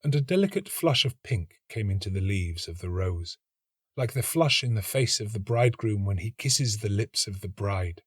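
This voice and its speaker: A man reading a literary story as an actor would, in a measured, dramatic and expressive narrating style. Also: on the low side, slightly rough, dry recording, clean studio sound.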